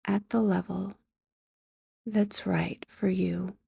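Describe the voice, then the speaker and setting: A woman leading guided sleep hypnosis in a soft, breathy, near-whispered voice, gentle and measured, with long pauses, close on the microphone. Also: medium pitch, level tone, dry recording.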